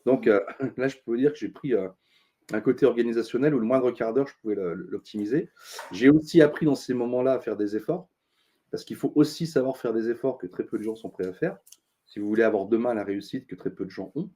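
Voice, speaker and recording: Middle-aged guy, warm dark voice, chatty interview, lots of euh and restarts. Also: mid-range voice, quick pace, breathy edge. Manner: thoughtful, many fillers and restarts, earnest advice-giving. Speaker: interview guest, business consultant. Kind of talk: casual interview talk.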